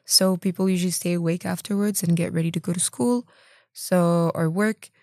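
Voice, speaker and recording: A young woman from France talks in a fairly low, flat voice. She explains things casually and often restarts a sentence partway through. The recording is dry. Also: moderate pace, no echo. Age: young adult. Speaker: student guest. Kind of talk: casual discussion.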